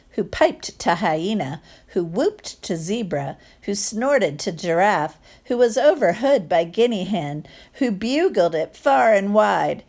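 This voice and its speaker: A woman reading a picture book aloud to children, expressive, with chatty asides and questions to listeners. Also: mid-range voice. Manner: expressive, acts out sound words, asks listeners questions. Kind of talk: animated read-aloud storytelling with chatty asides.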